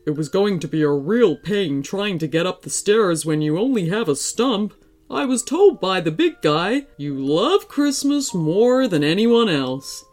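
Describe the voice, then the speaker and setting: A jolly talking Christmas tree from a storybook, put on by a woman. It speaks heartily and playfully, swooping proudly through slow, savoured phrases. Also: fairly low pitch, very animated, darkish tone, faint music behind. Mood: warm, glad.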